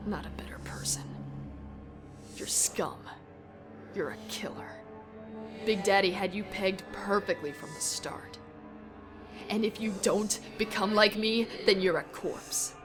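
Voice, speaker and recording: A woman's voice: medium pitch, a little slow, long pauses, lightly acted. A woman voicing an evil mirror-self cyborg pony mare in a story reading, mocking and savage, ranting with profanity. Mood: cold contempt. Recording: music bed behind the voice.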